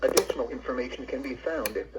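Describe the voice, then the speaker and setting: A synthetic male announcer reading a scripted weather bulletin in a measured, impersonal way, heard through a radio speaker. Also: mid-range voice, heavy background noise. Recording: dull lo-fi weather radio playback.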